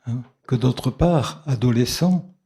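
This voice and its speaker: A man taking the floor at a bookshop talk, speaking in a slow, measured, explanatory way, heard from some distance. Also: medium pitch, warm tone, breathy edge. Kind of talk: lecture-like comment.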